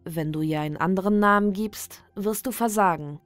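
A woman reading a scripted creepy ritual guide as a horror narrator, in a measured, deliberate, instructional manner. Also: medium pitch, no echo. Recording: dry studio voice over background music. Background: background music.

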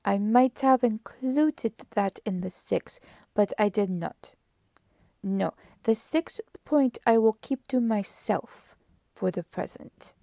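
A woman voicing a precise, courteous, self-assured male detective with formal, deliberate phrasing in an audiobook. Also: medium pitch, gravelly, mellow, dry recording, studio quality. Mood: thoughtful, secretive. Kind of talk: audiobook character dialogue.

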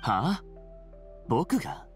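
A sardonic, aloof young wanderer. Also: male voice, medium pitch, wildly swooping pitch, extremely slow, soft background music, heavily acted. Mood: curt. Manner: curt, dismissive remarks. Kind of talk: video game voice acting. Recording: game cutscene audio with soundtrack under it.

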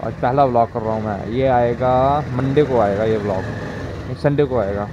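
A man's voice: medium pitch, fairly even intonation, normal speed, dull audio. A man chatting casually to viewers as he vlogs from a moving motorbike. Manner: chatty, informal, addressing viewers. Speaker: motovlogger. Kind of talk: casual vlog chat while riding. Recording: loud wind and traffic noise. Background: engine, wind and road traffic.

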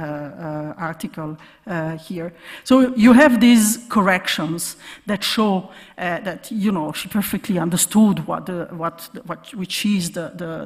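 A woman giving an academic keynote lecture from a podium, explaining in a halting way with repeated words and hesitations. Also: lowish voice, a little mellow. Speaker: keynote speaker, academic researcher. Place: large conference hall stage, at podium. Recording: reverberant lecture hall sound.

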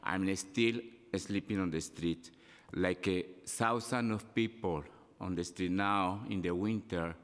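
A middle-aged man from Colombia with a Spanish accent, giving halting, unscripted testimony at a council hearing. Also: low voice. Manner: halting, gesturing with his hands. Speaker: public testifier. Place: large city council chamber. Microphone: desk microphone. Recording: long hall reverb.

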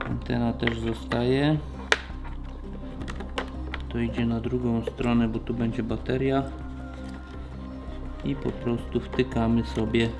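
A man gives a casual hands-on tutorial as a technician, explaining step by step in a plain, practical way. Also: medium pitch. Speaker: motorcycle shop technician demonstrating installation.

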